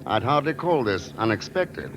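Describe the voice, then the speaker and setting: A man's voice: medium pitch, sing-song, normal speed, close to an everyday voice. An actor playing a cool, wry, suave male secret agent in a vintage radio drama, dry and unruffled in manner. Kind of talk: 1940s-50s American radio acting. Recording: dull vintage radio recording.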